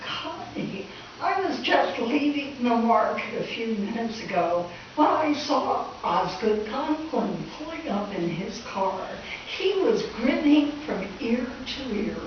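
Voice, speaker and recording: Woman playing a slow-talking, scatterbrained older landlady. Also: on the high side, animated. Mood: excited, earnest. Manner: rambling, absent-minded, earnest. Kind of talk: old-time radio comedy acting. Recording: echoey stage from far off, dull, hissy.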